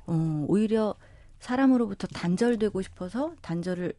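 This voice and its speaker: Mellow-voiced woman hosting radio. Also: medium pitch, expressive, moderate pace, dry recording. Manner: attentive, empathetic, frequent backchannels. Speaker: radio host. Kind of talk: conversational interview.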